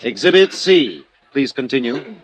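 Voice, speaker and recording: An actor playing a formal, methodical district attorney in a 1950s radio drama, questioning in a courteous, pointed way. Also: male voice, medium pitch, very animated, unhurried, no echo, poor audio. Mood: formal, probing.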